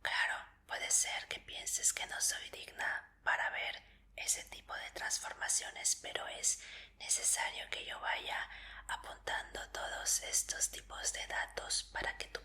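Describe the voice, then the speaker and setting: Woman playing attentive female examiner for an ASMR roleplay: crisp, very bright whisper, soothing and polite. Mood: polite, explaining. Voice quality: soft breathy whisper. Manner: slow, soothing, polite instructions with encouragement. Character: gentle, attentive female medical examiner preparing a fighter.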